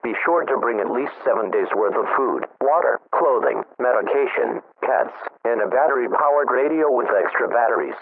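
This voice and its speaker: Synthetic guy voice reading an emergency alert, formal and impersonal, mid pitch. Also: animated, normal speed, few pauses, narrow, tinny audio. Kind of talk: scripted emergency broadcast announcement.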